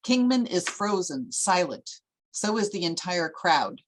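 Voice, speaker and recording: A woman with a slightly low voice reads scene descriptions from a screenplay. It is scripted narration for a table read, setting out the action. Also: big pitch swings, a little slow, poor audio. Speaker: narrator reading stage directions.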